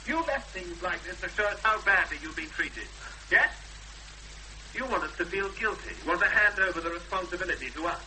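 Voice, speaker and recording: An actor playing an angry, impatient fiancé, clipped and accusing, in a 1970s radio drama. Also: male voice, high-pitched, brisk, pushed and bright. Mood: scornful accusing. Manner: clipped, accusing, pressing with blunt questions. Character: forceful, angry young fiancé confronting a victim, impatient and accusing. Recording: faintly noisy, humming old radio broadcast.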